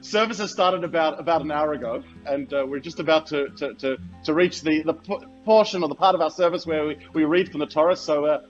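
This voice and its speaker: A man's voice: on the high side, a little fast, few pauses. A man, a rabbi, chatting as a radio guest in a hesitant, word-repeating way over a lo-fi link. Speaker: senior rabbi, show guest. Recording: dull lo-fi remote link. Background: light guitar music.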